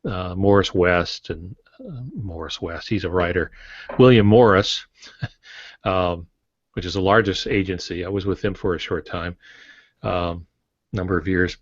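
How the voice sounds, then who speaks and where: An American man in his sixties giving plain-spoken, halting advice in a conversational podcast style on a video call. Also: low-pitched. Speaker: guest author and publishing expert. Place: home office, indoors. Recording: dull, roomy video-call audio.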